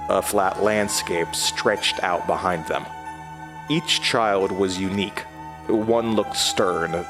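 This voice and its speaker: Slow, low-voiced haunted man narrating. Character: Denver, haunted man recounting his horrifying journey through tunnels. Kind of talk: first-person horror audio drama narration. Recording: music bed behind the voice.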